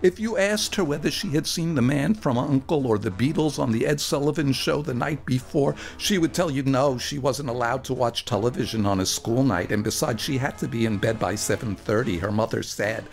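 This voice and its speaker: A man performing a first-person story for radio, with a wry, animated and earnest delivery. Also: medium pitch, brisk, creaky, few pauses.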